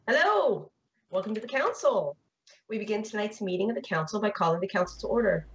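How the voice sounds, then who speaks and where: A woman's voice: mid-range voice, lively intonation, brisk, highs cut off. A woman hosting a live talk show podcast, opening the show and introducing the panel.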